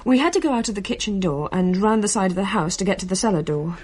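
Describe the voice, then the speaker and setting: An actress playing an earnest, excitable British daughter, confiding family events conversationally in a radio play. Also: female voice, mid-range voice, quick pace, background noise, close to an everyday voice. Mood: uneasy recounting. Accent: British English. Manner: chatty, confiding. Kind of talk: conversational radio drama narration.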